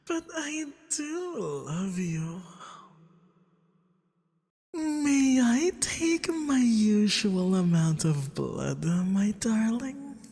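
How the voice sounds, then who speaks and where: Seductive femboy vampire acted by a man, possessive, very slow, breathy coaxing whispers, swooping intonation, ASMR roleplay, long reverb. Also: sits high, darkish tone, full character voice. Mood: pleading, possessive. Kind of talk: intimate ASMR roleplay acting. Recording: long reverberant hall echo.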